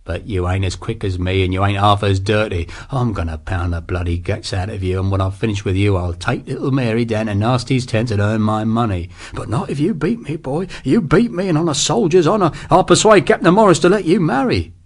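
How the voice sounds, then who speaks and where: A man voicing a brutal, scheming army sergeant who talks in coarse, rough slang. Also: on the low side, brisk, few pauses, natural voice. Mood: menacing.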